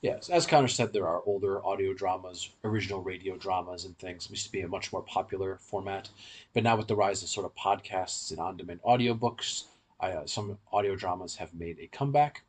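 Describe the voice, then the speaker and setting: A man's voice: low-pitched, brisk, husky. A man hosting a podcast, explaining things at length in a conversational discussion.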